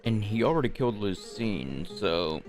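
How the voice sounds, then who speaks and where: A man's voice: lowish voice, sing-song, normal speed, darkish tone, soft background music. A man streaming a video game, talking casually and reading along as he plays. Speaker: streamer playing and commenting on a game. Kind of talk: casual game chat and read-aloud.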